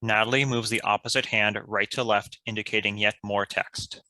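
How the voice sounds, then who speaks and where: Very bright, lowish-voiced male narrator, dry. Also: fairly even intonation, moderate pace, no room sound, rough recording. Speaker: stage-direction narrator. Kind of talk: scripted narration for a table read.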